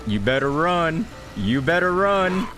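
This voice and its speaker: A man's voice: a little high, big pitch swings, a little slow, a bit bright, soft background music. A man giving casual gameplay commentary, blunt and sweary, often talking straight at the game. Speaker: gaming video creator.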